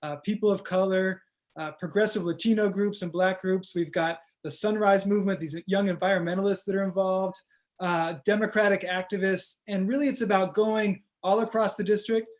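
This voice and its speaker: A man, a candidate, giving energetic, rallying answers in an interview over a video call. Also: sits high, moderate pace. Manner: energetic, rallying, stump-speech delivery. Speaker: congressional candidate.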